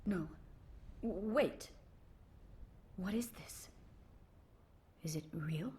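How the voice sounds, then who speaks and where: An actress playing a bewildered, curious woman from a past century in a video game, hesitant and softly formal in wonder. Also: fairly low pitch, drawn-out words. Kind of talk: scripted video game dialogue.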